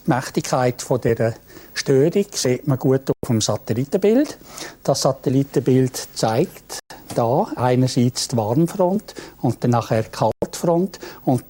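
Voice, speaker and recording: A man presenting the weekend weather forecast in a plain, measured way with some hesitations. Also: medium pitch, warm tone. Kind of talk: scripted weather forecast, explaining.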